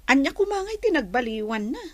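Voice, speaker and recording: A troubled daughter in a radio drama. Her voice sits high and has an Ilocano accent. She sounds plaintive and hesitant, ashamed yet stubborn. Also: sing-song, fairly quick. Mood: uneasy, plaintive.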